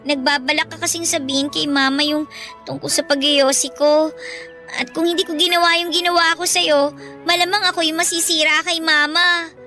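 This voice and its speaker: A woman's voice: high voice, flat delivery, normal speed, bright, forceful tone, faint music behind. An actress playing a guilty, defensive teenage girl in a radio drama, uneasy and apologetic. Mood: guilty, pleading.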